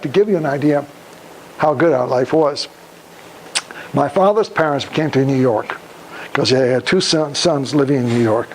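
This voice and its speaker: An elderly man from Poland giving a public talk, speaking deliberately in halting phrases and putting questions to his listeners. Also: mid-range voice, a little mellow. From Krakow, Poland. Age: over 75. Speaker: guest speaker, Holocaust survivor. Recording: noisy live room recording.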